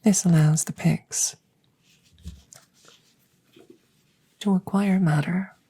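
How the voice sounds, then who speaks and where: Woman as a slow, whispery alien scientist. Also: lowish voice, fairly even intonation, dark tone. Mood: clinical, explanatory. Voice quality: breathy, whispery, husky. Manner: slow, formal, precise, detached phrasing. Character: curious, clinical alien scientist tending a rescued human. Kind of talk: whispered ASMR audio roleplay. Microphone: close microphone, ASMR style.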